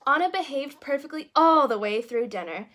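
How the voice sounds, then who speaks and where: A woman's voice: sits high, animated, normal speed, fairly bright tone. A woman narrating a children's storybook expressively, with little asides to young listeners. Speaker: storyteller reading a children's book aloud in character as a princess.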